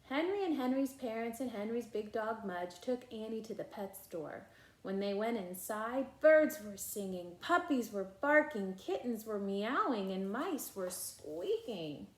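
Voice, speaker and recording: A woman storyteller reads a picture book for young children at an unhurried pace. Her voice sits at a medium pitch, and she draws out words with expressive emphasis, dropping in casual asides. The room around her is noticeably echoey. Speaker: read-aloud storyteller.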